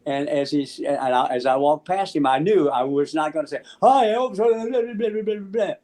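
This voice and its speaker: An American man in his seventies casually telling anecdotes in an animated, halting way, acting out the dialogue. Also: on the high side. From Memphis, United States. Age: 70s. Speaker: interview guest, musician. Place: small room at home, on a video call.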